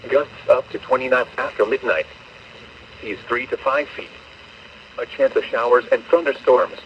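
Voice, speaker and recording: A synthetic male voice reads a marine forecast in flat, clipped phrases. It plays through a small radio speaker a distance from the mic, so it sounds dull and lo-fi with a faint hiss. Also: mid-range voice, moderate pace. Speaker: weather broadcast voice. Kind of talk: automated marine weather forecast.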